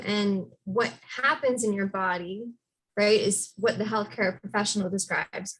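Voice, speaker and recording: A woman's voice: mid-range voice, breathy edge, low-quality recording. A woman talking casually on a discussion panel, chatty and informal, filling her sentences with "like". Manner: chatty, lots of "like", animated when quoting herself.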